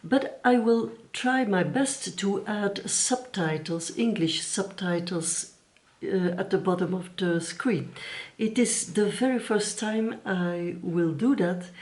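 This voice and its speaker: A Dutch-speaking woman hosting her own crafts channel, greeting viewers in English in a friendly, halting way with fillers. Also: fairly low pitch, darkish tone. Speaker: YouTube crafts channel host. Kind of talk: casual vlog introduction.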